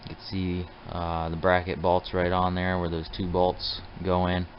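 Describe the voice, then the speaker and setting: A man's voice: low voice, monotone, measured pace. A man giving a casual, plainspoken how-to narration of his own DIY work, talking to viewers informally. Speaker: DIY truck restorer hosting his build videos. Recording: dull lo-fi camera audio, light noise.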